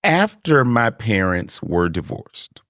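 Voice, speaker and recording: A man's voice: lowish voice, very animated, slow, a bit bright, no echo, clean studio sound. A man hosting a podcast, chatting casually and animatedly, laughing often and acting out quotes. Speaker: podcast host. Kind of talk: casual chat.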